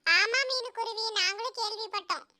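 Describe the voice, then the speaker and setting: A woman's voice: very high-pitched, moderate pace, pushed and bright, heavily acted. A woman voicing small animals in a cartoon moral story, childlike and earnest. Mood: caring, warning. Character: small cartoon animals: orphaned mouse child, caring mother bird.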